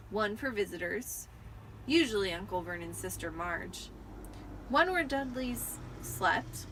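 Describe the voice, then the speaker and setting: A woman's voice: mid-range voice, a bit bright, slight background noise, poor audio. A tipsy woman giving a dramatic amateur audiobook narration. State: tipsy from wine.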